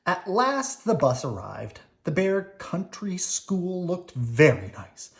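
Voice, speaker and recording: A woman reading a children's storybook aloud in an animated, unhurried way for young listeners. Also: lowish voice, creaky. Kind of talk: expressive read-aloud storytelling.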